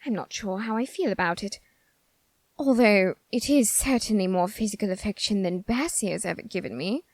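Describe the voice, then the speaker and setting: A woman playing a flamboyant, lovestruck, vain reaper in a theatrical audio roleplay monologue, with dramatic, drawn-out words. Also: medium pitch, dry recording, close to an everyday voice. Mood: coy musing.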